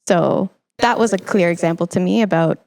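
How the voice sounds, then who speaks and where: A woman's voice: fairly low pitch, moderate pace, gravelly, darkish tone, no room sound. A young woman hosting a conversational podcast interview, speaking in an effusive, encouraging way. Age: young adult. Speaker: podcast host.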